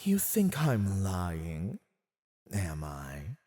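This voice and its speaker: A man's voice: low-pitched, huge pitch swings, mellow, full character voice. A man playing a silver-tongued villain warlord who taunts a captive in a theatrical, mocking, teasing way for an ASMR roleplay. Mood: cold, persuasive. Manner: teasing, mocking, theatrical, drawn-out delivery with chuckles.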